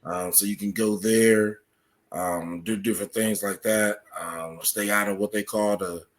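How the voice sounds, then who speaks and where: A man with a fairly low voice speaks as an interview guest. He talks conversationally, laying out explanations and examples as he answers. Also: steady tone, a little slow. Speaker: podcast guest, article author.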